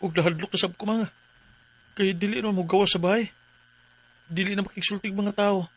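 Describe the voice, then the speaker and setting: A man's voice: high-pitched, fairly even intonation, brisk, fairly bright tone, breathy edge, full character voice. A man acting a worried, weary husband in a radio drama, earnest and troubled. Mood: fretful. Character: worried, weary working husband pleading for his wife.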